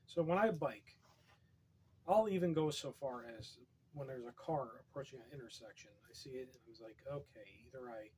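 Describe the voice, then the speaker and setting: A man with a hoarse, fading voice, reading poetry aloud and drifting into wry, rambling asides, keeping his voice soft and quiet. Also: mid-range voice, normal speed, a little hiss or hum. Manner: quiet, soft-spoken, wry deadpan humor. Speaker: poetry reader.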